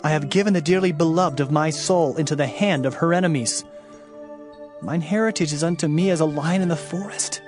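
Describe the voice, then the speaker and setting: A man acts a grieving prophet warning of doom in a fairly high male voice. He declaims earnestly and deliberately, in the manner of audio scripture drama, with faint music underneath. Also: lightly acted. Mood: grieved, indignant.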